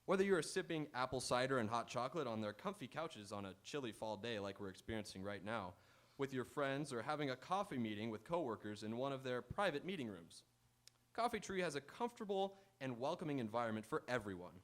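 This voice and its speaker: A man's voice: medium pitch, sing-song, fairly quick. Male live quiz show host. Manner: playful banter with contestants and audience. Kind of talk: live game show hosting with ad reads. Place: auditorium stage.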